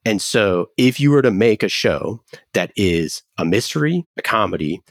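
A man's voice: on the low side, lively intonation, normal speed, no room sound, clean studio sound. A man from California talking casually and anecdotally as a business podcast guest, with an informal, conversational way of explaining things. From United States, California. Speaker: podcast guest, company founder. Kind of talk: casual business interview talk.

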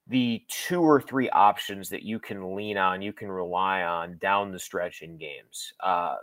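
A man's voice: low-pitched, fairly bright tone, no echo. A man working as a sports broadcaster, giving conversational analysis as a guest, explaining and qualifying his points with emphasis.

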